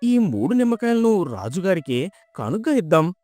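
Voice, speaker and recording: A man voicing a poor, pious villager in a folk tale, earnest, humble and expressive. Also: sits high, moderate pace, dry recording, soft background music. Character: poor, pious, honest village husband, humble and wonder-struck. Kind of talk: dramatised folk tale storytelling.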